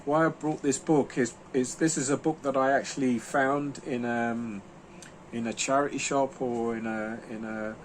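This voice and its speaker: A man's voice: medium pitch, fairly even intonation, moderate pace, slight background noise, rough recording. Rambling man reviewing books. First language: German. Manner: rambling, many fillers and restarts. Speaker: book reviewer. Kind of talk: casual video monologue.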